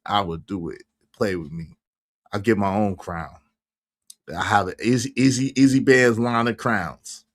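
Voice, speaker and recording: A man's voice: fairly low pitch, a little slow. A man around fifty hosting a casual livestream, chatting animatedly with viewers, laughing and reacting to their comments. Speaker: livestream host.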